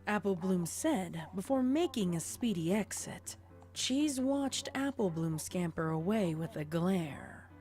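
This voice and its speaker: A woman's voice: medium pitch, expressive, measured pace, dark tone, faint music behind. A woman narrating a scripted story as an audio drama narrator.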